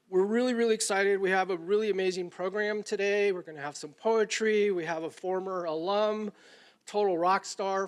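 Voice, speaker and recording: Man with a scratchy, high voice. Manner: warm, loose, mixes in Spanish words. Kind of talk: ceremony welcome speech. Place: university graduation ceremony.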